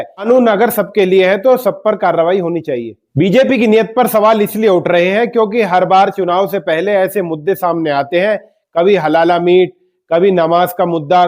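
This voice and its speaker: A man's voice: high voice, a little mellow. A man hosting a news commentary, delivering scripted political remarks in an emphatic way and putting rhetorical questions to his viewers. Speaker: news commentator and channel host.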